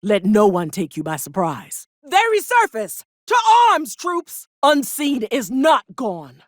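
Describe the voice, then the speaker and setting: A woman's voice: medium pitch, big pitch swings, takes it slowly, no room sound. A woman playing a sardonic, bloodthirsty corporate officer in a video game, with dry, haughty delivery and folksy, ornate, old-fashioned phrasing. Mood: commanding, alert. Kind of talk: video game character dialogue.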